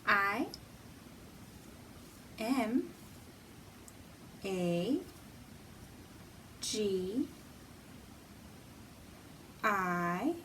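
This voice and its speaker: Woman doing kids storytime, slow and teacherly, bit far from the mic, faint noise. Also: mid-range voice, a bit bright. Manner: simple, deliberate, teacherly phrasing with pauses. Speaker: children's library storytime host. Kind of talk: children's storytime lesson with questions to young viewers.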